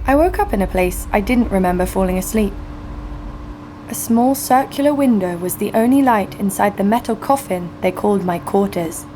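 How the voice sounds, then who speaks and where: A woman's voice: medium pitch, normal speed, mellow, slight background noise, close to an everyday voice. A woman playing a wry, adventurous young ship's guard who narrates her own story with a sardonic touch in a scripted audio drama. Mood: wry storytelling.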